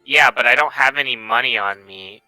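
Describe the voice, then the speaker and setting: A man's voice: medium pitch, brisk, sharp tone, soft background music, low-quality recording. A man chatting casually as a player in a tabletop roleplaying game.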